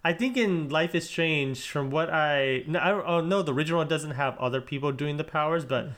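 A man's voice: on the high side, fairly quick, fairly bright tone. A man co-hosting a podcast in casual chat, rambling and correcting himself as he goes. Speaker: podcast co-host.

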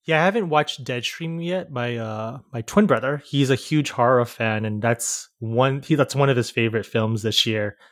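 A man's voice: mid-range voice, normal speed, few pauses, no echo, studio quality. A man hosting a podcast interview, friendly and given to laughter, stumbling a little over his words. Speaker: podcast host. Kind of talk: casual interview chat.

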